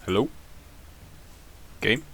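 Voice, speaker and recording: A man giving casual, sarcastic commentary while opening game packs, grumbling and then breaking into sudden excited yells. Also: very slow, a little hiss or hum. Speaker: gaming content creator.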